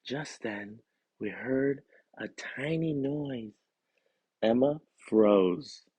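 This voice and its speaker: A man reading a children's story aloud in an expressive storytelling style. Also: medium pitch, extremely slow, breathy edge, dry recording. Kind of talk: expressive storybook narration, first person.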